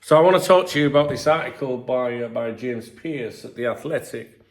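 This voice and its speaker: A middle-aged English man with a stuffy, congested voice and a sore throat, chatting casually as a football channel host and often clearing his throat. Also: mid-range voice, a little fast. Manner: chatty, frequent throat clearing, filler-heavy. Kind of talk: casual commentary to camera.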